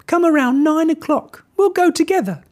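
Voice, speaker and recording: A man's voice: very high voice, normal speed, pitched above the performer's own voice. A man voicing a clever, cheeky little pig in a storybook reading. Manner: cheeky, shouty. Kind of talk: storybook character voice.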